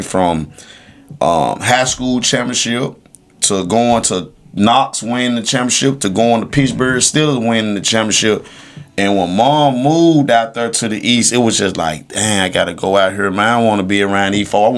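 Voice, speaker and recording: A man chatting casually on a podcast, reminiscing in an emphatic, slangy storytelling way. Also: mid-range voice. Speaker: guest co-host.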